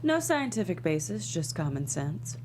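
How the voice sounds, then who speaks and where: A woman's voice: fairly low pitch, big pitch swings, normal speed, noisy background. A woman at a script table read playing a proud, wounded and principled female talk show host. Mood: composed, firm.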